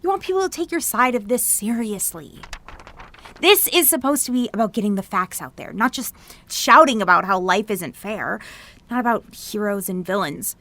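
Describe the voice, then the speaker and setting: A woman's voice: mid-range voice, animated, normal speed, a bit bright. A woman playing a blunt, protective seventeen-year-old best friend in an audio drama. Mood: exasperated, blunt.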